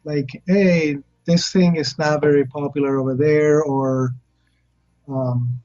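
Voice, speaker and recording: A man from Chile, a chef talking casually as a guest and often pausing to search for words. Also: fairly high pitch, steady tone, mellow, faint music behind. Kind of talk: casual interview chat. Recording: dull lo-fi recording.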